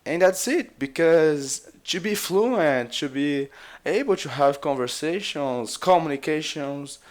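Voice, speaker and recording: A man's voice: on the high side, expressive, slow pace. A man from Brazil with a Brazilian Portuguese accent, a native Portuguese speaker giving casual advice on learning languages, hesitant and full of filler sounds. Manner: hesitant, many filler uhs, repeats himself. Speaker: language vlogger.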